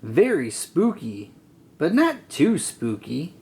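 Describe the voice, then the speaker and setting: A storyteller voicing an excited young boy cat in a children's storybook, expressive and exclaiming. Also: mid-range voice, takes it slowly, husky, heavily acted.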